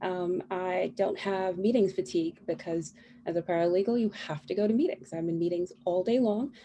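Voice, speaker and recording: Warm-voiced mom on a video call. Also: female voice, lowish voice, steady tone, moderate pace, breathy edge. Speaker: school council candidate. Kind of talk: candidate forum answer over video call. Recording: video call with faint kid noise. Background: children fighting.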